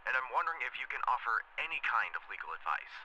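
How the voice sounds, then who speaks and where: A man's voice: medium pitch, sing-song, fast talker, sharp tone, highs cut off, a little hiss or hum. A man voicing a worried male homeowner who calls a game hotline with a polite, formal complaint. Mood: concerned. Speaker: caller.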